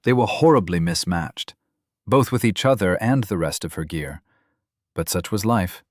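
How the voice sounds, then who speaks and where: A man narrating a fantasy audiobook in a measured way, reading out game item descriptions. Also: low voice, animated, dry recording, studio quality.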